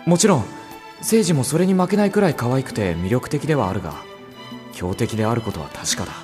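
A man's voice: mid-range voice, lively intonation, a little fast, a little mellow, faint music behind, close to an everyday voice. An actor playing an earnest, kindly teenage boy hero in a Japanese audio drama, with casual, sincere delivery. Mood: concerned. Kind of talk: Japanese visual novel audio drama acting, with narration.

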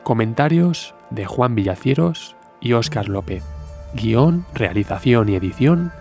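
A male announcer reads a scripted credits announcement. His mid-pitched voice is creaky, with music clearly playing underneath. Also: lively intonation, a little slow, dark tone.

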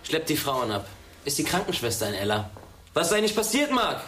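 Radio drama bully: rapid, highish, cruelly mocking teen in an echoey space. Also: male voice, sing-song, fairly bright tone. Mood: jeering. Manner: mocking, jeering. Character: taunting, cruel teenage schoolboy bully. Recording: echoey room, faint noise.

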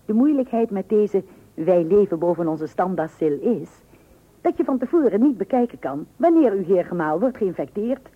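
Wry housewife, old muffled radio. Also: female voice, medium pitch, moderate pace, husky. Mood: wry narration. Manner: wry, deadpan humouring. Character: dryly ironic, patient but firm housewife and mother. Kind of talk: radio play acting with narration to the listener. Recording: muffled narrow-band old radio recording.

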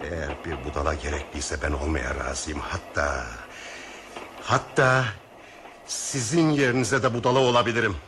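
Deep-voiced, sardonic actor as a fatalistic man. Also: steady tone, moderate pace, noisy background, close to an everyday voice. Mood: scornful, mocking. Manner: sardonic, philosophising. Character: cynical, mocking male train passenger, bitterly fatalistic. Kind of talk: radio theatre acting.

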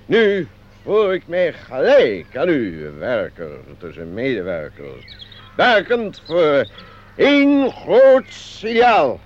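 A man's voice: fairly high pitch, huge pitch swings, slow pace, exaggerated voice. An actor playing a pompous company director, declaiming a speech to his staff in a Dutch radio play. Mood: pompous, rousing. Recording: dull, noisy old radio recording.

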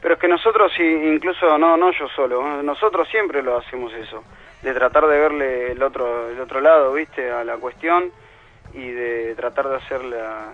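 A man's voice: mid-range voice, normal speed. A man with a Buenos Aires accent chatting casually and colloquially, as an interviewed musician on the phone. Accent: Buenos Aires Argentine Spanish. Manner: colloquial, slangy. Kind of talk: casual chat. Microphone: telephone. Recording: tinny band-limited phone line.

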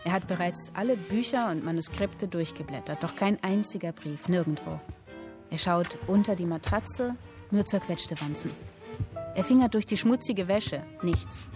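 A woman acting as storyteller, narrating a historical tale in a radio play with deliberate, vivid phrasing. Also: fairly low pitch, close to an everyday voice. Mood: suspenseful. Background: music.

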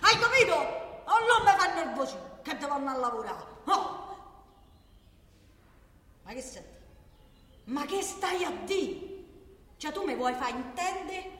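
A woman's voice: sits high, big pitch swings, slow, long pauses, pushed and bright, heavily acted. An actress playing a feisty working-class woman with a Roman accent, badgering and exasperated, repeating herself in a theatrical radio monologue. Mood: indignant. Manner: exasperated, repetitive, colloquial, badgering. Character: feisty working-class woman arguing for high-speed rail. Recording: distant, echoey hall with noise.

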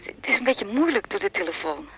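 A woman's voice: on the high side, sing-song, quick pace. A woman playing a careful, evasive bearer of bad news in a radio play, heard through a telephone filter. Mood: tense, careful. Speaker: actor in a radio drama. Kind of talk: Dutch radio play acting. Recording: muffled, band-limited telephone line.

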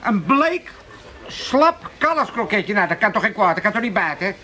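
A man's voice: high-pitched, very animated, bright, forceful tone, heavily acted. An actor playing a cheeky, gluttonous man in a comedy radio play, teasing and savouring his words with relish. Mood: gleeful, relishing. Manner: teasing, drawn-out relishing delivery. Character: cheeky, gluttonous joker who relishes disgusting stories. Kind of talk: 1980s Dutch comedy radio play acting.

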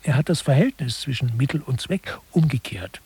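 A man playing a lecturer who soberly and precisely expounds satirical management theory in a radio play, in a husky voice. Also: medium pitch, animated, normal speed, close to an everyday voice. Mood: sober, explaining.

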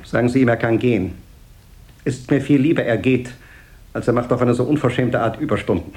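Radio play boss, played by a man: medium pitch, self-important, nagging, curt, sarcastic. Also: brisk, a little mellow, natural voice. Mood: pompous, disdainful. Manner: pompous, curt, sarcastic, repeating words for emphasis. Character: peevish, self-important, nagging male company director. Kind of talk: 1970s German radio play acting.

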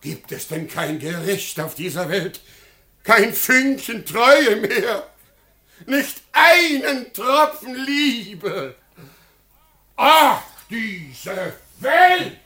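An actor playing a betrayed, miserly swindler, wailing and exclaiming in a theatrical radio play. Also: male voice, sits high, lively intonation, extremely slow, pushed and bright, full character voice. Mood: despairing. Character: betrayed, miserly rich swindler, desperate and pleading.

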